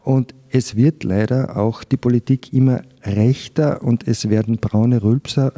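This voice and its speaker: An older man with a Carinthian Austrian accent speaks in a very dark, mellow voice. He gives candid, reflective personal testimony in an interview, with emphatic stresses. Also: mid-range voice, fairly even intonation, measured pace. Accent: Austrian German (Carinthian). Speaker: interviewee, physician.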